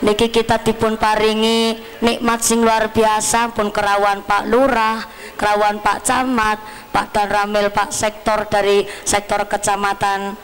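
Slow-paced woman preacher with Javanese accent. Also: mid-range voice, completely flat delivery, fairly bright tone, low-quality recording. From Central Java, Indonesia. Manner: teasing, folksy. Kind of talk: lively sermon mixing teaching with humour. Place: live religious gathering.

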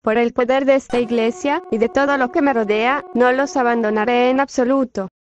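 Synthetic high-voiced protective nun read by a woman's voice. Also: fairly even intonation, fairly quick, lightly acted. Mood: pleading, determined. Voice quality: synthetic, text-to-speech. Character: weary, protective church nun fighting evil spirits, kind to children. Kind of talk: Spanish fan dub with text-to-speech voice. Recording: muffled lo-fi audio over music. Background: game music.

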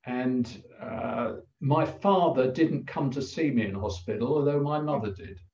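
An older man with an English accent, a guest telling a personal story over a video call in a halting, reflective way with many pauses. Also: medium pitch, mellow. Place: plain room indoors. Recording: roomy, distant, dull video call audio.

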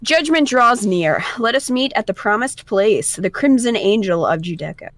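A woman's voice: fairly high pitch, animated, normal speed, dry recording. A young woman co-hosting a gameplay video, chatting casually and reading visual novel text aloud. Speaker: gaming video co-host.

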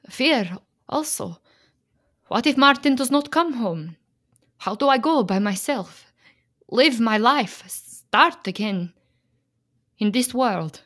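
An actress playing a proud, fearful Slovak immigrant wife and mother in a radio play, speaking with a Slovak accent and formal, halting phrasing. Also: fairly high pitch, fairly bright tone, heavily acted. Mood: grieving, afraid. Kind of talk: dramatic radio play acting.

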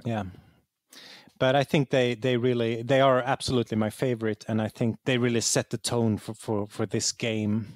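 A man's voice: mid-range voice, little pitch movement, normal speed, dry recording, studio quality. A man with a Swedish accent explaining things conversationally as an interview guest, repeating words as he searches for phrasing. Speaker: interview guest, game writer.

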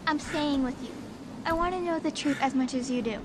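An actress playing a gentle, loyal little girl in a dramatic video game. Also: high voice, brisk, noisy background, lightly acted. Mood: earnest.

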